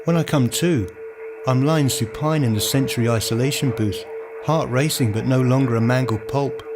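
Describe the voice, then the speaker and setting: A man reading dramatic, literary first-person narration for a radio play in a deliberate storytelling manner. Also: mid-range voice. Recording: music behind the voice. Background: music.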